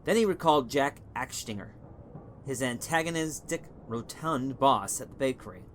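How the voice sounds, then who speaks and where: Male narrator for short fiction, mid-range pitch, measured dramatic storytelling, faint noisy ambience behind. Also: expressive. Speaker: story reader.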